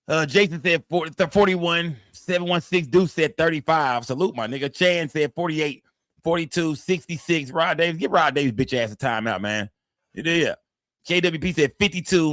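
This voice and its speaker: A man's voice: fairly high pitch, a bit bright, dry recording, clean studio sound. A man in his forties hosting a live stream in loose, profane casual chat. He reads the chat aloud and repeats his phrases, tagging them with "man" and "fam.". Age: 40s.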